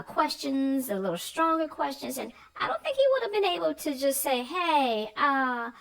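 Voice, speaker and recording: A woman with a high voice chats casually as a co-host about a story for children. Her intonation moves up and down a great deal, and she gives her opinions in an informal, conversational way. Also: vocal fry, a bit bright, low-quality recording. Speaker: read-aloud show co-host.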